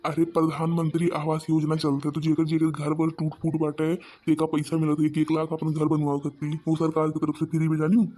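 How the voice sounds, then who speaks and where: A man plays a stubborn cartoon village husband with a fairly high but mellow voice. He grumbles his explanations in an Awadhi accent with little rise and fall, sly and put-upon. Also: monotone, brisk, close to an everyday voice. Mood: stubborn explaining. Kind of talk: village comedy cartoon dialogue.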